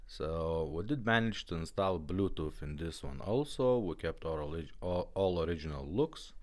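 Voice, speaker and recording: A man's voice: sits low, animated, normal speed. A man giving a casual, informal product demonstration in non-native English phrasing. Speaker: presenter demonstrating restored car radios.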